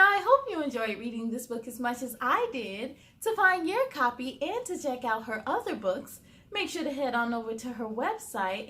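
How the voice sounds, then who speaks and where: A woman reading a storybook aloud as a children's host, animated and speaking directly to young listeners. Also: high voice. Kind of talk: expressive storybook read-aloud with chatty asides to children.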